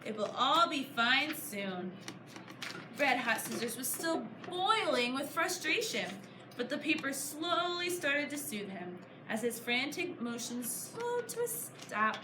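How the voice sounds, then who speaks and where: A woman reading a storybook aloud to a class of children, pausing to put questions to them in an encouraging, teacher-like way. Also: sits high, big pitch swings. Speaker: author reading her book to a class. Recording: echoey room, clear background noise. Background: children answering, room noise.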